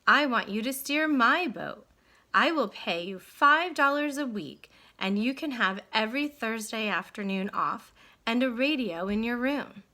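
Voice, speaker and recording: A woman voicing a sulky, bossy twelve-year-old boy from a storybook, loud and demanding. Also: fairly high pitch, lively intonation, measured pace, heavily acted.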